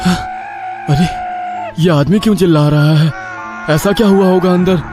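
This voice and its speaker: Man acting a higher-pitched, earnest young villager. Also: moderate pace, close to an everyday voice. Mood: alarmed. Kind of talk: horror storybook dialogue. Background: background music.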